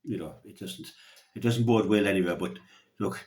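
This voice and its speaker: A man with an Irish accent and a very deep voice talks through local sport as a radio commentator. He speaks without a script, giving opinions as he goes. His delivery is halting, often repeating a word several times while he finds the next phrase. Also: a little mellow. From Ireland. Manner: halting, repeats words as he gathers his thoughts.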